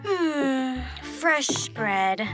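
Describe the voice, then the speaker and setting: An actress playing an eccentric, forward spinster on the hunt for a husband in a comic audio play, genteel and coy with menacing undertones. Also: female voice, high voice, full character voice.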